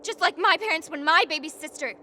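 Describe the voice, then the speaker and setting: A woman's voice: extremely high pitch, brisk. An actress in a radio drama playing an earnest, tender-hearted young woman who speaks with feeling and hesitation. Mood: horrified, accusing. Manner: hesitant, emotional, searching.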